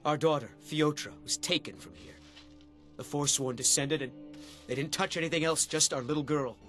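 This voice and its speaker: A man's voice: on the high side, moderate pace, fairly bright tone, soft background music, lightly acted. A man playing a grieving village father in a fantasy video game. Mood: distraught.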